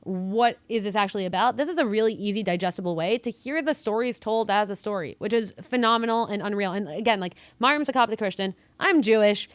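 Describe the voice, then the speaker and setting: A woman co-host talks casually, rambling with fillers and letting her sentences fade quieter. Her middle-pitched voice is close and dry on a studio boom mic. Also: quick pace, hardly any pauses, dry recording. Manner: chatty, lots of fillers, trails off quieter. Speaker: podcast co-host. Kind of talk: casual podcast chat. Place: podcast studio with purple lighting.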